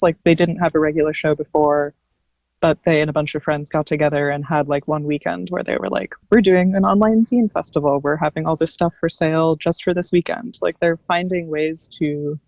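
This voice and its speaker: A woman's voice: low voice, darkish tone, dry recording, dull audio. A woman on a panel talking casually and explaining things as she thinks them through.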